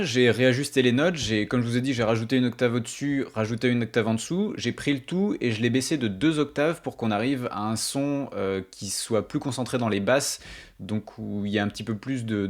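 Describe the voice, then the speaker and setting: A man talks through a software tutorial as its host. He explains each step in colloquial, informal language, as if showing a viewer how it is done. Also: medium pitch. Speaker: music production tutorial host.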